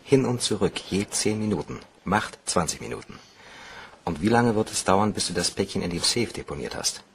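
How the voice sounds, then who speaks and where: An actor playing an embittered, determined bank cashier in a crime radio play, speaking in a brisk, commanding, husky way. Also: male voice, on the low side, expressive, exaggerated voice. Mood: businesslike, urgent. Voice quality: gravelly, husky.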